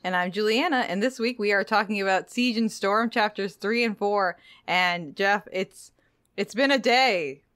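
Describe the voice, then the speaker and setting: A woman's voice: mid-range voice, moderate pace, a bit bright, dry recording, clean studio sound. A woman co-hosting a book-club podcast in casual, conversational talk. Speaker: podcast co-host.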